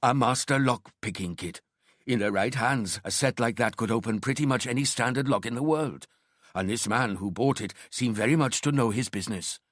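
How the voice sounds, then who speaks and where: A man's voice: medium pitch, normal speed, fairly bright tone, no echo, close to an everyday voice. An elderly Indian shopkeeper, acted out by a male audiobook narrator, courteous and proud of himself. He talks at length in an Indian accent, eager to help, in a dry recording. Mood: knowing, talkative. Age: 60s. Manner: deferential, talkative, proud. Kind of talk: audiobook character acting.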